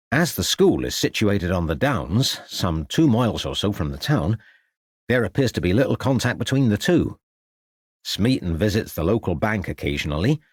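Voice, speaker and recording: A man's voice: deep voice, dry recording, studio quality. A man voicing a dutiful junior policeman giving a formal, matter-of-fact report in an audiobook. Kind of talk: audiobook character acting.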